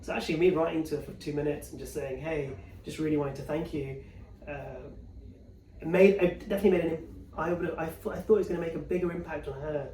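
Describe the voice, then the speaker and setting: A middle-aged man being interviewed, chatting casually and telling personal anecdotes in an animated way, acting out quoted remarks. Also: on the high side, quick pace, slight background noise. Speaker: interview guest, corporate executive. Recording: echoey room, distant mic.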